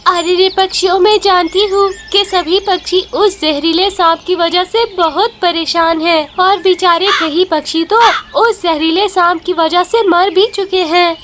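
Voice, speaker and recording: A woman's voice: very high voice, steady tone, unhurried, hardly any pauses, a bit bright, a little hiss or hum. A woman voicing a brave, scolding mother bird in a children's cartoon, emphatic and full of exclamations. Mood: determined. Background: music.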